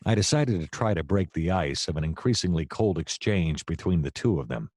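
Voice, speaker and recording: An actor playing a wry, deadpan private detective who narrates a noir comedy radio play in wordy style. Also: male voice, sits low, brisk. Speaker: narrator and lead character.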